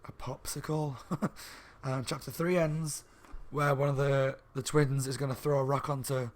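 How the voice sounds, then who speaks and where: A slightly hoarse man reviewing a book in a rambling, casual chat at home, with lots of ums and restarts. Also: mid-range voice, flat delivery, unhurried. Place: room at home by a window on a main street.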